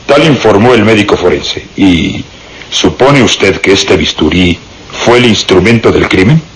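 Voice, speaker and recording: Stern police inspector, very animated delivery. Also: male voice, fairly low pitch, a little slow, a little hiss or hum, low-quality recording. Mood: methodical, pressing. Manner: formal, authoritative, interrogating. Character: stern, methodical, officious male Scotland Yard police inspector. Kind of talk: radio drama acting.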